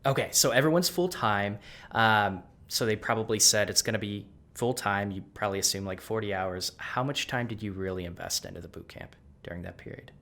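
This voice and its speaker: Man interviewer, lowish easygoing voice. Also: brisk, fairly bright tone. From United States. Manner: friendly, encouraging questions. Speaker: host, interviewer. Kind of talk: casual interview.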